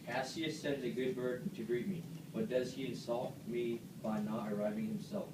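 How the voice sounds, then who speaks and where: A man's voice: medium pitch, fairly even intonation, moderate pace, natural voice. Proud animal general read by a man, echoey. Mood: proud, offended. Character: proud, wronged male animal general, Brutus. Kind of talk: amateur read-aloud puppet play. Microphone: far camera. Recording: echoey classroom, distant mic, clear noise.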